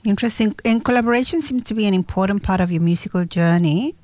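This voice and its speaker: A woman's voice: medium pitch, quick pace, darkish tone, dry recording. A woman hosting a radio interview in a studio, reading out prepared questions with brief replies in between. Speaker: radio host.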